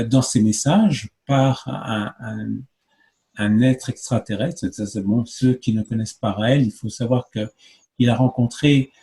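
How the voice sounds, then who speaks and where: Interview guest, man in his fifties from France, hesitant earnest delivery, mid-pitched voice. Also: warm tone. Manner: hesitant, many fillers, earnest explaining. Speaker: guest, movement spokesman. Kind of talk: conversational interview answers.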